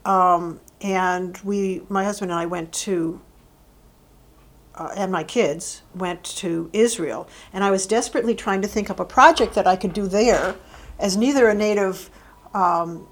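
Middle-aged woman talking it through, lots of ums and restarts, plain everyday voice. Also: mid-range voice. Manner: thoughtful, halting, with frequent restarts and fillers. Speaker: interviewee, university faculty administrator. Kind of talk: oral history interview.

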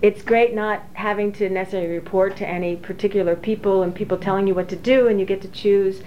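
A woman in her forties, an engineering professor, recalling her career slowly with many fillers and restarts. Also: medium pitch, fairly even intonation. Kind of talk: oral history interview. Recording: dull lo-fi recording with faint hiss.

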